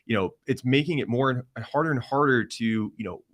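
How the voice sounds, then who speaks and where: A man from Croatia, a guest on a casual podcast, talking about his company in an upbeat sales manner. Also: a bit bright. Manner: upbeat, rapid pitch-style talk.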